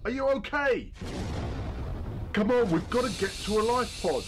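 Urgent male first officer played by an actor, very high-pitched, barking orders over loud battle noise, sci-fi audio drama. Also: lively intonation, measured pace. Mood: worried, urgent. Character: urgent, commanding first officer, protective older brother. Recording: loud battle effects behind.

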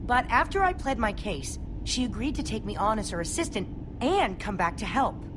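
Clear background noise surrounds a young apprentice engineer in a video game. Her fairly high voice bounces around in pitch, eager, nervous and quick to apologize. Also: big pitch swings. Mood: sheepish. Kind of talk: animated video game acting.

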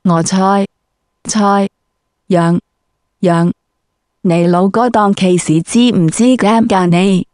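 A woman's voice: medium pitch, steady tone, slow, pauses often, a little mellow, no room sound, studio quality. A woman with a Cantonese accent putting brash, slangy questions as an interviewer. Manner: brash, crude street slang.

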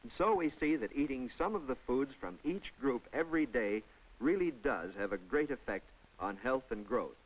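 A man's voice: medium pitch, expressive, moderate pace, low-quality recording. A man narrating a public information film in a scripted, chatty voice-over with folksy asides to the viewer. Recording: old film soundtrack with faint music.

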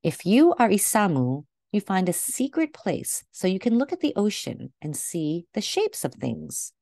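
A woman reading a picture book aloud to children in a gentle, deliberate way, recorded indoors over a video call. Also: medium pitch, sing-song, creaky, no echo, studio quality. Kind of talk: read-aloud storytelling for children.

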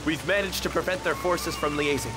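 A man's voice: on the high side, fairly quick, lightly acted. An actor playing an exuberant, admiring male officer of ancient China in a video game, speaking formally and declaiming. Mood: impressed. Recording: loud game music over voice.

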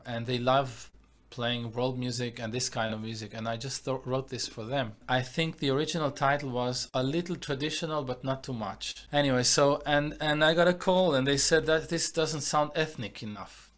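A man from Argentina who grew up in Israel, a composer talking in a casual video-call interview, reflective and halting with many restarts. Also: mid-range voice, steady tone, fairly bright tone. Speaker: composer and musician, guest. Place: home room, indoors.

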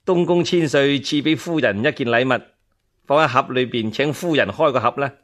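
Male narrator as young Cantonese-speaking palace eunuch in radio tale. Servile, courteous, gently insistent. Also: mid-range voice, normal speed, lightly acted. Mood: formal. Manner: polite, servile.

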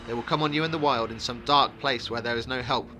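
A man voicing a calm, secretive and wary wandering stranger, with a brisk, commanding and ominous way of talking, as in a fantasy storybook. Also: mid-range voice, slight background noise, close to an everyday voice. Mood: urgent, ominous.

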